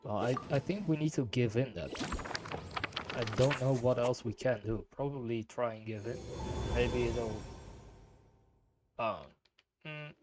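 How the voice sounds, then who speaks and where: A man's voice: mid-range voice, unhurried, pauses often. A man giving casual game reaction commentary as a streamer, musing aloud informally. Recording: game music loud behind.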